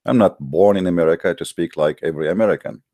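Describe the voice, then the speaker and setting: A man's voice: low voice, fast talker, mellow, dry recording. A man, an English speaker, hosting a live call-in debate show in a hesitant but challenging way, with many fillers. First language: Arabic.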